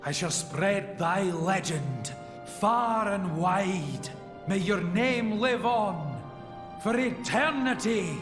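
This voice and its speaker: A man's voice: high voice, animated, slow. A man playing a noble legendary hero in a stage play within a video game, declaiming solemnly in archaic, grand phrases in an echoing theatre. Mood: solemn gratitude. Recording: echoey theatre hall, music behind.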